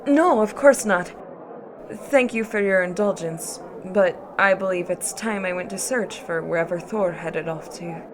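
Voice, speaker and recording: A woman's voice: on the low side, unhurried, noisy background, lightly acted. A woman playing a dignified young goddess bride in an audio drama, speaking in a formal, clipped manner. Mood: courteous.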